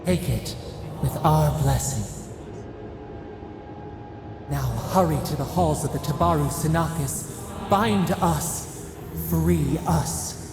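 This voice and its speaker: Game role: ancient imploring deity, heavily creaking and unhurried, in vast reverb over music. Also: medium pitch, a crowd in the background. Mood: urgent, commanding. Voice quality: gravelly, creaky. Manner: slow, ceremonial, cryptic. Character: ancient trapped god, plural speaking, solemn and imploring. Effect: cavernous echo. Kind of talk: video game voice acting. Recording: long echoing reverb, music underneath.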